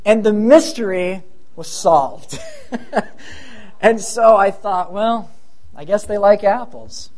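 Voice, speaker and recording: A man's voice: high-pitched, lively intonation, takes it slowly, pauses often, a little mellow. Thin-voiced man preaching a sermon. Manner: self-deprecating, rambling asides. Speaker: pastor.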